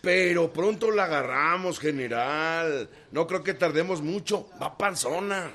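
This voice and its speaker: An actor playing a coarse, bullying soldier under a cruel general in a radio drama, with a Mexican accent. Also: male voice, on the high side, a little slow. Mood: reporting, deferential. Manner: coarse, taunting, shouting orders.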